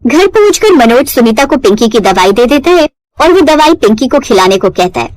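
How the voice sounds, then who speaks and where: High-pitched animated woman storyteller. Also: normal speed, dry recording. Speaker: story narrator. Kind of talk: scripted dramatic narration of a moral story.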